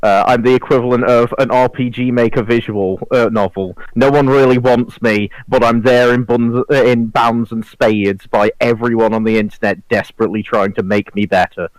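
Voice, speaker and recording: Man, medium pitch, dry sound, casual banter, self-deprecating, sweary. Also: fairly even intonation, moderate pace, hardly any pauses, no room sound, rough recording. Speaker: podcast panelist.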